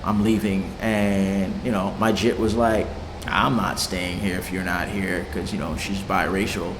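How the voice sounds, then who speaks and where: A man's voice: low voice, little pitch movement, a little slow. A young man who grew up in Haiti, talking casually in an interview with loose slang, swearing, frequent fillers and easy laughter. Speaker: interviewed music producer. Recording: echoey room with long reverb and clear background noise.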